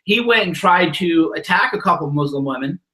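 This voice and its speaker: A man's voice: fairly high pitch, animated, fairly quick, sharp tone, roomy sound. A man hosting a casual interview, asking questions and giving his frank take with frequent restarts. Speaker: host of call-in show.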